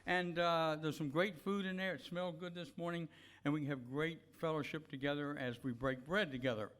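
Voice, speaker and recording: A man's voice: a little high, fairly even intonation, fairly quick, fairly bright tone. A man leading a church service, giving blessings and announcements in an earnest, unscripted, encouraging way.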